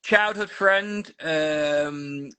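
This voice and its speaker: A man's voice: on the high side, drawn-out words, bright, forceful tone, clear, resonant tone. A man from South Manchester with a Manchester accent, chatting as a volunteer guest on a video call, rambling with restarts and asides. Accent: Manchester English. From South Manchester, England. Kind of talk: casual interview chat. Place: Ukraine.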